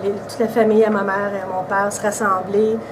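Interviewee: woman in her 40s, québécois accent, relaxed chat, distant camera mic, hissy room. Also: mid-range voice, fairly quick. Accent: Québécois French. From Quebec, Canada. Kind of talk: casual interview answers. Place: indoors by a brick wall.

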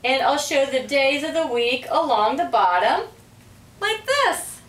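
A woman's voice: sits high, very animated, measured pace, a bit bright, voice pushed up, exaggerated voice. A woman voicing an eager, organized girl in a storybook, announcing things proudly. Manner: announcing, exclaiming. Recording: roomy, distant microphone.

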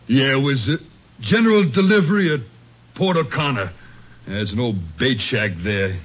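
Man playing a husky, shaken drifter. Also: medium pitch, lively intonation, lightly acted. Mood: downcast. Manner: halting, emotional. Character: shaken, roaming bootlegger widower, bitter at betrayal. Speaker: radio drama actor. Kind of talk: old-time radio western detective acting. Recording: dull old radio broadcast recording.

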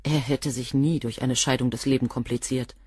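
Guarded, husky, coolly defiant assistant. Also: female voice, rumbling low, steady tone, moderate pace, darkish tone, no room sound. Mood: cool, dry. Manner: precise, cool, clipped answers. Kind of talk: German crime radio play dialogue.

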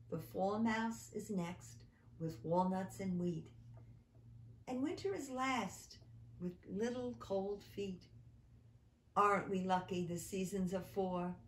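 A woman voicing a gentle, dreamy young male field mouse who is a shy poet, speaking softly and thoughtfully. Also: mid-range voice. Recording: roomy echo.

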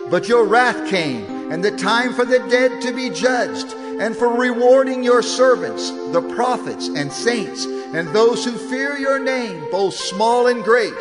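An actor playing a reverent heavenly elder, declaiming exalted praise in a scripture audio drama. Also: male voice, extremely high pitch, heavily acted. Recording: long cavernous reverb. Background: loud music.

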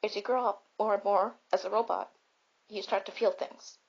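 A woman playing a caring but blunt, self-important robot in a comfort roleplay, speaking in a deliberate, declamatory way. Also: medium pitch, fairly even intonation, husky. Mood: solemn storytelling. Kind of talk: comfort roleplay monologue with storytelling. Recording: slightly dull, raw home recording.

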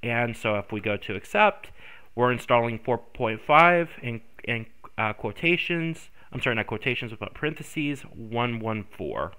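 A man's voice: medium pitch, animated, a little slow, bright, forceful tone, smooth. A congested man hosting a screen-recorded tech tutorial, rambling with many ums and self-corrections. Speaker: tutorial host.

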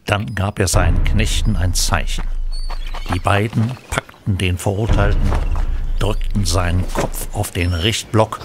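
Deep guy narrating a dark radio play, slow-burn sombre storytelling, clear noise behind him. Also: fairly even intonation.

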